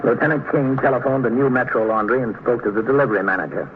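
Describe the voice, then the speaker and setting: An American man playing a steady, matter-of-fact police captain who also narrates a 1950s radio drama. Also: mid-range voice, fast, close to an everyday voice. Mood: measured, matter-of-fact. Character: male police captain, steady, measured, kindly. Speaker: narrator and lead actor. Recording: muffled narrow-band old radio recording, faint noise.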